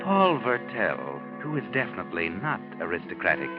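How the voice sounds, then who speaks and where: An actor playing a sinister, knowing storyteller, narrating theatrically and ominously in 1940s radio style. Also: male voice, sits low, huge pitch swings, normal speed, heavily acted. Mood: sardonic, foreboding. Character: sinister, knowing male night-walking storyteller. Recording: dull old radio recording with music. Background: loud dramatic music.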